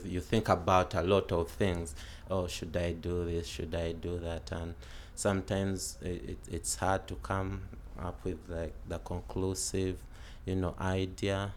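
Interviewee: Ugandan-born man, late twenties, very low gravelly voice, slow and halting, faint background hiss. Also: steady tone. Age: 20s. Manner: hesitant, many fillers and restarts. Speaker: interviewee, art student. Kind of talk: casual oral history interview.

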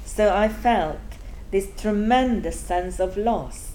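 A woman's voice: medium pitch, a little hiss or hum, low-quality recording. Older woman with a Hungarian accent. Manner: thoughtful, halting, with frequent ums and soft laughs. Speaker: interviewee. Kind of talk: reflective personal testimony.

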